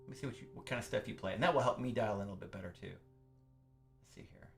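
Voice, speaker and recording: A man's voice: fairly low pitch, quick pace, creaky. A middle-aged man hosting a casual music livestream, chatty and upbeat, with lots of fillers. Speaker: livestream pianist host.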